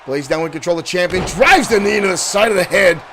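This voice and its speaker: A man doing excited play-by-play commentary for a digital wrestling show, shouting at big moments and losing his voice. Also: high-pitched, quick pace, some chatter behind, slight background noise.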